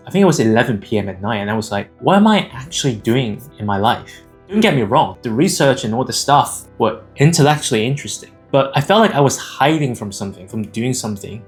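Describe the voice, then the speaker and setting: Young man from Hong Kong, guitar behind. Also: mid-range voice. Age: 20s. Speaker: video creator and AI agency founder. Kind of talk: personal storytelling to camera. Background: acoustic guitar music.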